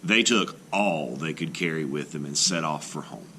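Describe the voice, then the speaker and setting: A man, a teacher, reading a folktale aloud for children with expressive narration. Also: very deep voice, moderate pace, a little hiss or hum. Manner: expressive, rising and falling with the tale. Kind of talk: storybook read-aloud narration.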